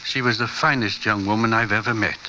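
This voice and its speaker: Hissy muffled recording, low-voiced older gentleman, courteous, grieving, vintage radio drama. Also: steady tone, brisk. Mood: sad, courteous. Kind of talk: 1940s-50s American radio drama acting.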